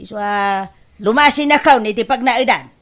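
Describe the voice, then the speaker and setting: A woman's voice: a little high, normal speed, a bit bright, lightly acted. A woman playing a bossy, chatty, house-proud older mother in an Ilocano-accented radio comedy drama. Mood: scolding, insistent.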